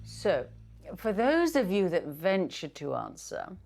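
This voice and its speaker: A woman's voice: fairly low pitch, huge pitch swings, slow pace, creaky, darkish tone, faint music behind, full character voice. An actress playing a bitter, theatrical middle-aged mother who speaks with pompous sarcasm in a film drama. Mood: bitter, commanding. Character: bitter, theatrical mother hosting a hostile family quiz. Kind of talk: acted film dialogue.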